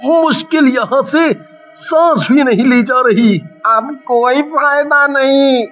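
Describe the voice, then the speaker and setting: Man voicing an anxious, very high-pitched cartoon thief. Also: takes it slowly, a bit bright, dull audio, faint music behind, heavily acted. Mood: despairing. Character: anxious male thief trapped by witches. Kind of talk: cartoon horror story dialogue.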